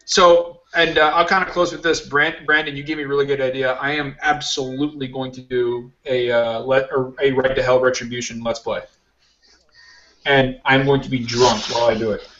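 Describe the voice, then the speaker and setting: A man's voice: mid-range voice, steady tone, normal speed, sharp tone, muffled recording. A man hosting a casual podcast, rambling and joking about himself. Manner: rambling, self-mocking, joking. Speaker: podcast host. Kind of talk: casual chat.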